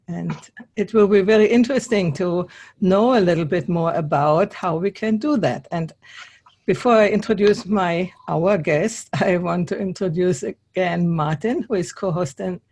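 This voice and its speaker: A woman's voice: on the low side, darkish tone. A woman hosting an interview on a video call, speaking English as a second language and sometimes searching for words. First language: not English. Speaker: host.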